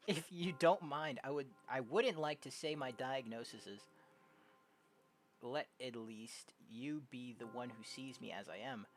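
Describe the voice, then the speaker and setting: A young adult game streamer casually reading visual novel dialogue aloud, jokey and prone to loud exclamations. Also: medium pitch, a little slow. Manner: animated, jokey, exclaims loudly. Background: game music.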